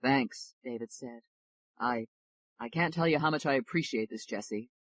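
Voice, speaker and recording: A woman voicing a modest, earnest young male lawyer, speaking in a quiet, measured way. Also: very deep voice, no echo.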